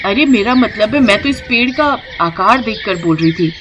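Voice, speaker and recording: A woman voicing poor, resourceful young village daughters-in-law in an animated moral story, expressive and full of exclamations. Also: mid-range voice, normal speed, noisy background, natural voice. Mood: persuasive.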